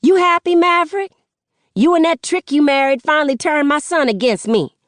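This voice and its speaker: A woman putting on a furious, shouting mother. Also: high voice, moderate pace, dry recording, clean studio sound, pitched above the performer's own voice, lightly acted. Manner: shouting, swearing, cutting.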